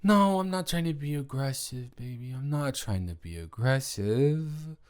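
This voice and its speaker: A man's voice: medium pitch, animated, a little slow, a little mellow, close to an everyday voice. A man playing a drunk young roommate secretly in love, rambling and stammering defensively in an improvised roleplay audio drama. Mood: exasperated, defensive.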